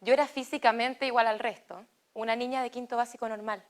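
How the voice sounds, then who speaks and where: A young woman with a Chilean Spanish accent tells a personal story in a fairly high voice. She speaks slowly and deliberately and pauses between phrases. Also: steady tone. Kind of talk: personal testimony read as narration.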